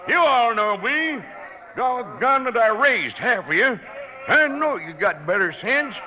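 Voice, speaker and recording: An American actor playing a crusty, folksy old sheriff with a western drawl, blustery and stubborn, in a radio western. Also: male voice, very high-pitched, animated, measured pace, bright, forceful tone, dull audio, full character voice. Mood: indignant. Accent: American Old West drawl. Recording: hissy old radio recording.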